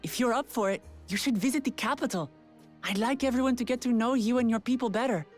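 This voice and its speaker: An actress playing an earnest young warrior princess in a video game, speaking directly and eagerly. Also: female voice, on the high side, fast talker, faint music behind, natural voice.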